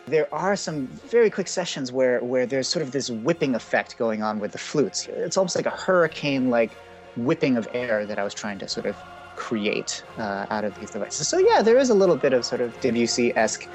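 A man's voice: medium pitch, sing-song, fairly quick, faint music behind. A man being interviewed as a composer, speaking casually and thoughtfully, hedging with lots of "sort of" and "um". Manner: thoughtful, hedging, with many fillers.

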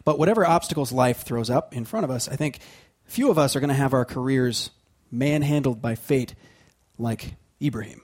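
A man's voice: mid-range voice, normal speed, darkish tone. A male radio host speaking in a wry, conversational scripted style. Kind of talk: scripted radio hosting with songs.

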